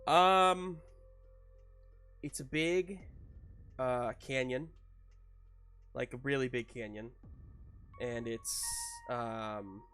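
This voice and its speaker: A man running a tabletop roleplaying game, improvising narration and characters in a halting, searching way. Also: mid-range voice, steady tone, fairly bright tone, clear, resonant tone. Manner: halting, searching delivery with repeated words. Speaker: game master. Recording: music running behind the voice.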